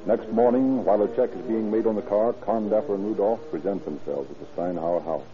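A man's voice: on the low side, fairly quick, close to an everyday voice. A man narrating a police case in an old-time radio crime drama, on a scratchy, muffled vintage recording. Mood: grave, matter-of-fact. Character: grave male announcer narrating a police case.

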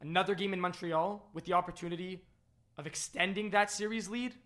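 A man co-hosting a live sports podcast, losing his voice after yelling, animated and full of restarts. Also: sits high, a little fast. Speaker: podcast co-host. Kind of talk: excited live sports chat.

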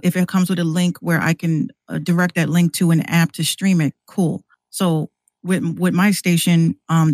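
A man's voice: a little high, no room sound. A man in a casual podcast chat, explaining things informally and often repeating words as he goes. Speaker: podcast guest who runs an online radio station.

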